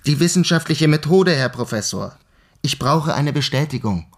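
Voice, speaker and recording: An actor playing an earnest, persistent scientific investigator in a radio play, speaking in a polite, formal and insistent manner. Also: male voice, on the high side, lively intonation, moderate pace.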